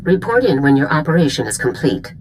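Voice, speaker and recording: A businesslike woman general in a game cutscene. Her voice is deep and strongly inflected, with commanding authority. A faint ambient noise sits behind her. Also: big pitch swings, brisk, a little mellow, low-quality recording. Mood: stern, businesslike. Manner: crisp military briefing, commanding. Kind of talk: video game cutscene acting.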